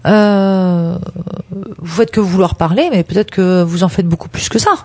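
A woman's voice: lowish voice, fairly even intonation, moderate pace, a little mellow, no room sound. A woman giving relationship advice on a radio show in a frank, direct way, hesitating often as she talks. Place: radio studio.

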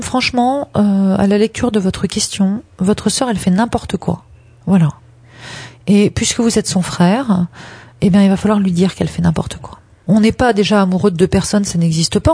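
A frank, emphatic woman with a dark, husky, mid-range voice. She gives conversational advice on the radio, addressing listeners directly in a dry studio sound. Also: mid-range voice, normal speed, no echo. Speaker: relationship advice expert on radio.

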